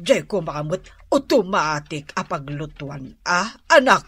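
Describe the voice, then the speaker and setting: A woman playing a middle-aged rural mother in a comedy radio drama, with an Ilocano accent and a drawn-out, hesitant, exclaiming way of talking. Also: lowish voice, very animated, fairly bright tone, husky, dry recording, exaggerated voice. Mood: hesitant, wheedling. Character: whiny, wheedling rural mother, easily flustered, laughing.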